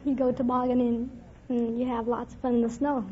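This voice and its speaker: A woman's voice: fairly high pitch, level tone, a little fast. A young woman answering questions as a quiz show contestant on an old radio recording. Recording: dull vintage radio, faint hiss.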